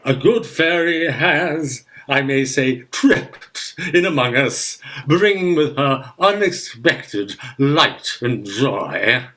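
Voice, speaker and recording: A man's voice: medium pitch, expressive, slow pace, hardly any pauses, pushed and bright. A man playing a pompous older guardian uncle in a theatrical radio farce, with florid, hesitant speech. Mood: pompous delight.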